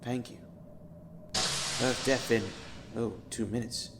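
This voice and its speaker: An actor playing a despairing, world-weary male space traveller in an audio drama, speaking in a subdued, brooding, halting way. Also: medium pitch, fairly even intonation, music in the background. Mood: reflective.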